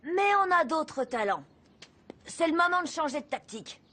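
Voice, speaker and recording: A woman's voice: high-pitched, lively intonation, normal speed. A woman voicing a resourceful young boy in a cartoon, rallying his teammates with bossy, rousing pep talk. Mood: scheming, confident. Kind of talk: French animated series voice acting. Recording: music under the voice. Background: loud background music.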